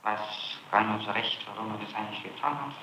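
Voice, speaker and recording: Sharp-voiced male prosecutor in echoey room. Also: on the low side, fairly even intonation, fast talker. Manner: accusatory, pressing, repeats points. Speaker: prosecutor questioning a suspect. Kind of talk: interrogation. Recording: echoey, faintly noisy archival interrogation tape.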